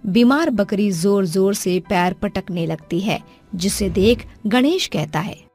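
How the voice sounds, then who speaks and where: A female narrator tells a cartoon story in a mid-pitched, even voice. Her reading is clear, and faint music plays under it. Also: takes it slowly.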